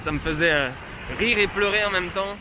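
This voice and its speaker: A man's voice: high-pitched, brisk, noisy background. A man chatting casually as a travel vlogger. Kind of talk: casual chat. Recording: music behind the voice.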